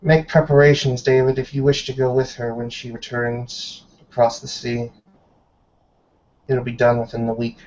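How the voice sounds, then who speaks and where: A man's voice: medium pitch, little pitch movement, darkish tone, far from the mic, lightly acted. Man acting as an old woman elder, commanding, formal, tabletop roleplay, lo-fi call audio. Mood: grave authority. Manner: formal, measured, imperious. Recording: dull, lo-fi online call.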